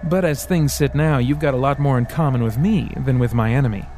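A man voicing a smooth, sarcastic male devil who teases and threatens by turns in an audiobook. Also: mid-range voice, brisk, darkish tone, soft background music, natural voice. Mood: taunting. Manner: teasing, sarcastic, theatrical. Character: sly mocking male devil, smooth, menacing.

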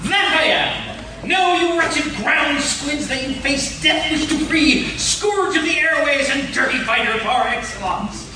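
A boastful air pirate captain declaims in florid, high-pitched mock-pirate style. It is comic radio theatre, heard from far off in a noisy room. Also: male voice, expressive, a little slow. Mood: swaggering, boastful. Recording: distant, echoey hall with clear noise.

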